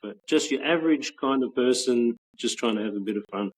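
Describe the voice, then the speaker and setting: A husky-voiced Australian man around forty talks easily on a video call, his pitch sitting in the middle. He rambles a little, restarting phrases as he thinks. The tone stays relaxed and unhurried. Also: low-quality recording. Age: 40s. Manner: relaxed, rambling with many restarts and soft laughs. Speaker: guest, patent office trainer. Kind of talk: casual interview chat.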